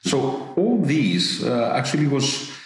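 Halting Greek-accented man in an echoey room. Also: medium pitch, sing-song. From Greece. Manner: halting, many fillers and repeated words. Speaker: business executive guest. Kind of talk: interview answers.

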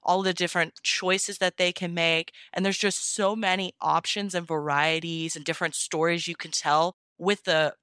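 A woman's voice: fairly low pitch, steady tone, normal speed, few pauses, a bit bright, clear, resonant tone, dry recording, studio quality. A woman guest on a podcast, chatting casually and explaining things earnestly, often saying "like". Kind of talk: casual chat.

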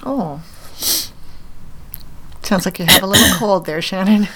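A woman co-hosting a podcast, chatting casually and encouragingly, often agreeing with quick replies. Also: medium pitch, a bit bright, breathy edge. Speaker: podcast co-host. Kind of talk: casual chat.

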